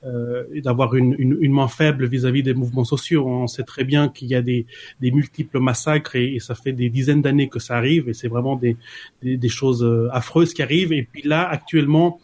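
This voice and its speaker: A man's voice: mid-range voice, steady tone, moderate pace, a little mellow. A man answering as an interview guest, hesitant and often restarting his sentences. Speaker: guest, collective member.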